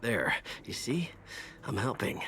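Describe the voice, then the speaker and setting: A wounded video game mercenary with an animated, sharp voice. He gasps in pain, defensive and cynical, over faint background noise. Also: medium pitch, slow, exaggerated voice. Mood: nervous, defensive. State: in pain, gasping. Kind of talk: video game cutscene acting.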